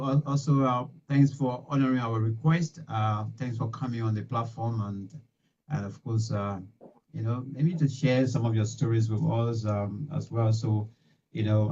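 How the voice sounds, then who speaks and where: A middle-aged man hosting a live radio interview, talking in halting bursts with many fillers and restarts, recorded in a small home studio. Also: lowish voice, mellow. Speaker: talk show host. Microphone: studio mic with headphones. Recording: distant, dull lo-fi sound.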